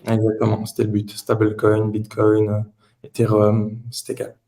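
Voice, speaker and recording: A man's voice: fairly low pitch, monotone, normal speed, gravelly, warm tone, poor audio. A man in his late twenties from southern France, giving conversational answers as an entrepreneur guest on a podcast. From Toulouse, southern France.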